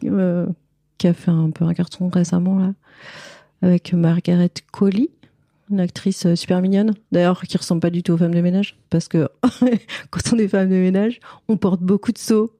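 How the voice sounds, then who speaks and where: A woman talks in a low, mellow voice, informal and candid, as a podcast guest. The sound is dry and close, like a relaxed conversation. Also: moderate pace, no room sound. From France. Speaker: podcast guest, cleaning lady and visual artist. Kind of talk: casual conversational interview.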